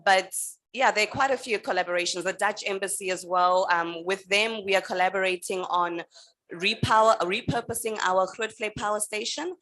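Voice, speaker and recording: A woman answering on a panel, measured and steady with frequent pauses and fillers. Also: mid-range voice. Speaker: energy utility representative on a panel.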